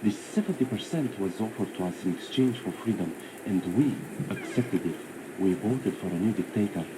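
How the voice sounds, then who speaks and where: A grave political speaker acted by a man denounces the government in a game's broadcast. The voice is deep, solemn and declamatory, with obvious noise around it. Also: lively intonation, normal speed, lightly acted. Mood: indignant.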